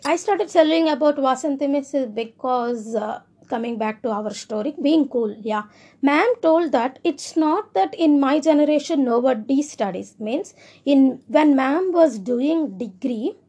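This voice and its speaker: A woman's voice: high voice, a little mellow. A young woman with an Indian English accent, talking casually and earnestly, often restarting her sentences. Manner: earnest, rambling, with frequent restarts. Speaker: speaker sharing personal views. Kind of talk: casual monologue.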